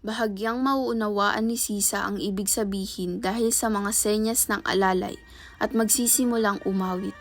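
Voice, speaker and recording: A woman's voice: medium pitch, fairly even intonation, moderate pace. A woman reading scripted narration for a radio play as its storyteller. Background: music under the voice.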